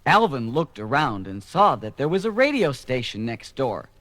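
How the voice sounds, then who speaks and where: Animated male children's storyteller. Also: mid-range voice, normal speed, faint music behind. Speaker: narrator. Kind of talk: scripted children's story narration.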